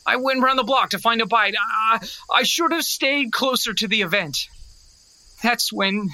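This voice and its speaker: Bright-voiced, hot-headed country boy. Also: a little high, a little slow, no echo. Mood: shaken. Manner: stammering, impassioned. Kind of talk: audio drama acting.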